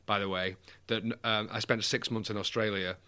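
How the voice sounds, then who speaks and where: Man, Mancunian accent, lowish pitch, bright, smooth, candid, self-deprecating. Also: level tone, normal speed. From England. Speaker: guest. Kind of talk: conversational interview.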